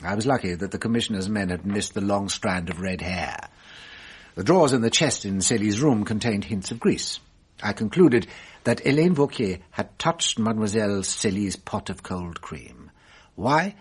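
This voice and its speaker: An actor playing a shrewd, self-assured French detective in a radio drama, musing deliberately with wry amusement. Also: male voice, lowish voice, lively intonation, breathy edge. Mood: musing, animated.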